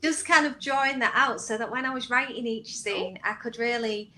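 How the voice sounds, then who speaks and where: A woman's voice: on the high side, normal speed, sharp tone. A woman explaining her working method casually as a guest on a writers' panel. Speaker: scriptwriter on a panel. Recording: faint background noise, live online event.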